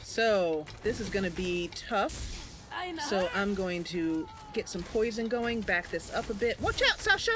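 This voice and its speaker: A woman's voice: medium pitch, unhurried. A woman hosting a gaming channel, giving casual, chatty commentary to viewers as she plays. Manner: chatty, talking to viewers while playing.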